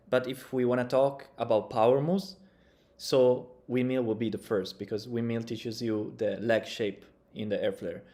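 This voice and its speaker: Mellow-voiced young man musing. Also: mid-range voice. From Italy. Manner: relaxed, thinking aloud with loose grammar. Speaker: breaking coach reacting to tutorials. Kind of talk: casual reaction commentary. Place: small room indoors.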